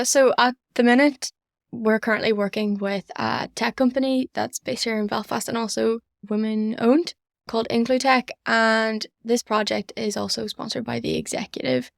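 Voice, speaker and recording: Higher-pitched young Northern Irish woman, evenly spoken. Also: vocal fry, no room sound, clean studio sound. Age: young adult. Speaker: student society member, podcast guest. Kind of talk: earnest interview answers.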